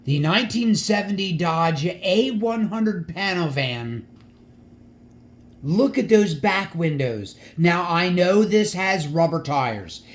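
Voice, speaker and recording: A man presenting his model car collection in a casual vlog, enthusiastic, with frequent restarts and a slight stutter. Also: a little high. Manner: halting, repeats words and restarts often; stutters at times. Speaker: collector showing diecast models.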